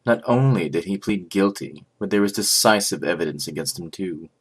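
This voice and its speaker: A man voicing a composed, formal prosecutor in a game fandub, speaking in a measured, matter-of-fact way. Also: low-pitched, lively intonation, a little hiss or hum. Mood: composed, businesslike. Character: composed, formal male prosecutor briefing on a case. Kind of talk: scripted game fandub acting.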